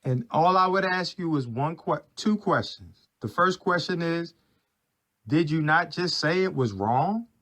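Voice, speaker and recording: A man's voice: on the high side, lively intonation, a little slow, a bit bright. A man arguing on a recorded phone call, pressing his questions insistently and repeating himself. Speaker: caller in a heated phone dispute. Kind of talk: argumentative phone conversation.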